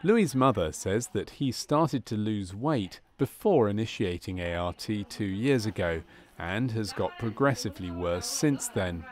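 Guy with a fairly low voice doing formal measured documentary voiceover for a medical training film. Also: a little mellow. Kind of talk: scripted documentary narration.

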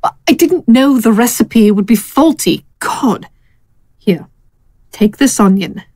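A woman playing a sly female friend who dabbles in spells, coaxing and drawn-out, in an audio drama. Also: a little high, expressive, dark tone, no echo. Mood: bossy, coaxing.

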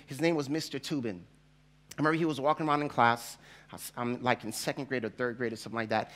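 A man in his forties from Jamaica with a slight Jamaican accent, a pastor preaching to a church audience through a headset mic, telling his life story in an animated way and acting out the voices of others. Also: high-pitched. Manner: animated, acts out quoted voices, laughs as he tells. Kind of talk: storytelling sermon. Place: large church hall stage with audience.

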